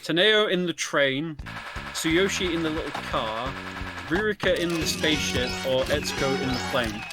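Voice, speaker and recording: A man's voice: on the high side, animated, moderate pace, over loud music. A man giving casual game commentary as a co-commentator, chatting along.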